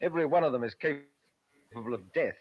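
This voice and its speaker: An actor playing a brisk, authoritative police inspector in old radio drama. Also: male voice, mid-range voice, crowd noise, close to an everyday voice. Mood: brisk, grave. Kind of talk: 1950s radio drama acting. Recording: dull old-time radio recording.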